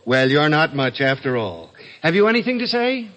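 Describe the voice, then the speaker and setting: An actor playing a stern, authoritative boss in declamatory old-time radio style, on a dull vintage recording. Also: male voice, fairly high pitch, very animated, normal speed, a bit bright. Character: stern male boss passing judgment on his staff. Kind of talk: old-time radio comedy-drama acting.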